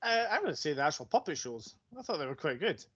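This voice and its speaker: A man with a slightly high voice takes part in a casual film discussion. He speaks as a podcast panelist. The recording is a little dull and lo-fi. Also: very animated, fast talker, fairly bright tone, breathy edge.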